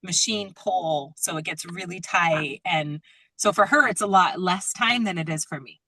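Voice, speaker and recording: Bright-voiced woman chatting informally. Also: on the low side, normal speed, slightly rough, dry recording, low-quality recording. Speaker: drum-making teacher leading a group call. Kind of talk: casual conversational group call talk.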